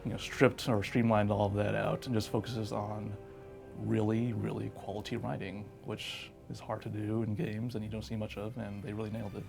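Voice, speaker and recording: A man's voice: lowish voice, flat delivery, moderate pace, creaky, darkish tone, soft background music. A man talking casually in an interview, conversational and thinking aloud with many hedging asides. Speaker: video game interviewee.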